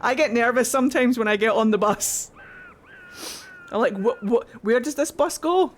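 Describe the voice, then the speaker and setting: A woman's voice: a little high, expressive, normal speed. A young woman from Glasgow chatting casually on a gaming stream, swearing freely and laughing often as she rambles. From Glasgow, Scotland. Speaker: game streamer. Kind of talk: casual livestream chat while gaming.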